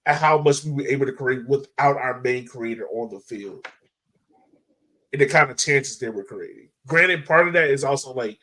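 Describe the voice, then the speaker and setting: A man's voice: mid-range voice, a bit bright. A man hosting a sports podcast, talking through a match in an informal, chatty, loosely phrased way. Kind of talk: casual match analysis chat.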